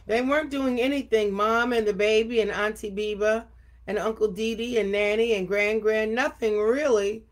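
An older woman reading a children's picture book aloud expressively, with deliberate, emphatic phrasing. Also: a little high, fairly even intonation. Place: home office room indoors. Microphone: webcam at desk distance.

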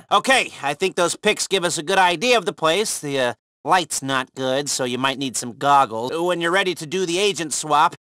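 A wry, upbeat male heist handler from a video game. His voice sits high and is crisp-edged and bright, in a very dry recording. He briefs casually, with little sardonic asides. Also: animated, moderate pace, no echo. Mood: businesslike, casual. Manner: informal, wry, hesitant asides. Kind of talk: video game mission dialogue.

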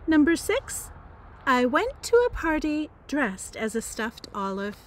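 A woman's voice: high voice, very animated, a little slow, slight background noise. An American woman reading aloud as a cheeky, self-absorbed teenage girl diarist, with a wry, melodramatic manner. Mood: melodramatic complaining. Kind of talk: expressive first-person audiobook-style reading.

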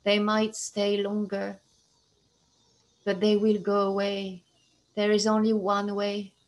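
A woman guiding a meditation in a slow, soothing, hushed and even voice. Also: medium pitch, poor audio. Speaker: meditation guide. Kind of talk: guided meditation.

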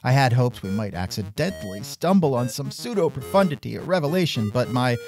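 A man's voice: mid-range voice, lively intonation, brisk, soft background music. Sardonic, exasperated male host. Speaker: podcast host. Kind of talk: scripted comedic interview.

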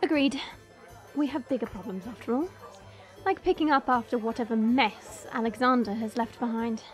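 A woman playing a haughty, irritable dragon princess who narrates her own story in a measured, scornful way. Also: on the high side, close to an everyday voice. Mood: irritated, disdainful. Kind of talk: first-person story narration. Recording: music bed under the voice.

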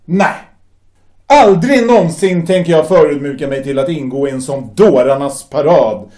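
An actor playing a young sailor who angrily refuses to join the party, indignant and emotional. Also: male voice, fairly high pitch, lively intonation, a little mellow, reverberant, natural voice. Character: outraged young sailor brother, anti-fascist. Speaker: Stefan, younger brother. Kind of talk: amateur audio drama acting.

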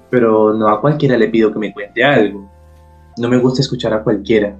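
Man acting as a shy man, gentle, hesitant, mid-pitched, radio play. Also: normal speed, lo-fi sound, faint music behind, close to an everyday voice. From Colombia. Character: shy, tender Colombian man hosting a companion. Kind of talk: radio drama dialogue.

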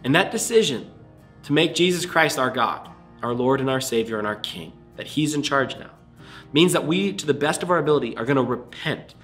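Earnest young pastor, fervent and pleading. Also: male voice, medium pitch, animated, a little fast, a bit bright, faint music behind. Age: young adult. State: congested. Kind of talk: livestream sermon and altar call. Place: small indoor room before a screen.

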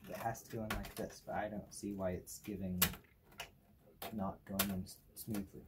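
A man's voice: sits low, flat delivery, moderate pace. A young man thinking aloud casually in a hesitant, puzzled mutter. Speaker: participant trying out old technology. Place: small cluttered room at a desk indoors. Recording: clear background noise with clicks.

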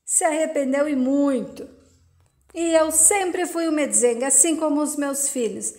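An easygoing middle-aged rancher, calm and reassuring, read by a woman in her own high voice. He talks plainly and affectionately. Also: measured pace. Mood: relaxed.

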